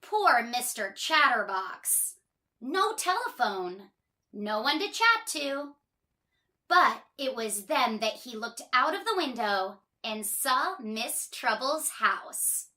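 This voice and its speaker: A woman reads a picture book to children in a high, girlish register with big swoops of pitch. Her delivery is unhurried and expressive, leaning on words for emphasis. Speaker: children's storybook reader. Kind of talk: animated read-aloud storytelling for children.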